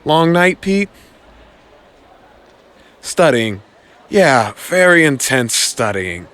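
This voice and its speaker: A man's voice: on the high side, sing-song, extremely slow, a bit bright, full character voice. A man playing an awkward, nerdy young college student hero in an audio comic, with a flustered, stammering manner. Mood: nervous.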